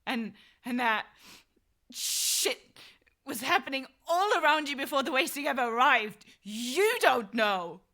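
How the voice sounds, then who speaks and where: An actress playing a guarded, wounded woman survivor in an audio drama, speaking in a blunt, weary, halting way. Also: high-pitched, animated, bright, forceful tone, heavily acted. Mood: bitter, angry. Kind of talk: naturalistic audio drama acting.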